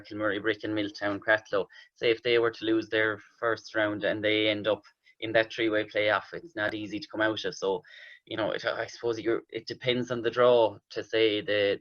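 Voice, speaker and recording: An Irish man on a sports panel, giving measured, hedging analysis on a dull-sounding recording. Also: lowish voice, fairly bright tone.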